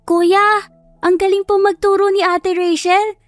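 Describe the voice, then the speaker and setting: A small, earnest child from an audio story, put on by a woman narrator. The voice is very high, polite and eager. Soft music plays under a dry recording. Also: little pitch movement, unhurried, dry recording. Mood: eager, admiring. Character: earnest little child, admiring younger sibling.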